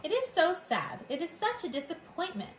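A woman's voice: extremely high pitch, wildly swooping pitch, moderate pace, reverberant, slight background noise, voice pushed up, exaggerated voice, rough recording. A woman voicing a vain, melodramatic French mother mouse with a put-on French accent, sighing and theatrical. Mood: dramatic. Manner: theatrical, sighing, self-pitying.

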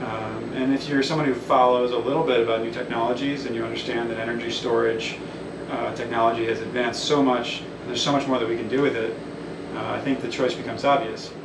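A man's voice: medium pitch, level tone, a little fast. A young man who installs marine electrical systems, explaining the setup on a boat in a technical, rambling way with many ums and restarts. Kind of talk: technical walkthrough interview. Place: small wooden boat cabin and engine room. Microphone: camera a few feet away. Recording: echoey cabin, distant mic, air conditioning hum.

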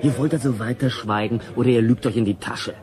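An actor playing an indignant, stubborn whistleblower in a radio drama, pointed and challenging. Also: male voice, mid-range voice, fairly even intonation, quick pace, a crowd in the background, lightly acted. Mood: indignant, accusing. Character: insistent, accusing male whistleblower, indignant and stubborn. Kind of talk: German radio drama acting.

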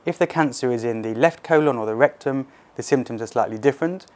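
A British man in his thirties speaking as a consultant surgeon, explaining things to doctors in a measured, clinical interview manner. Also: mid-range voice, a little mellow.

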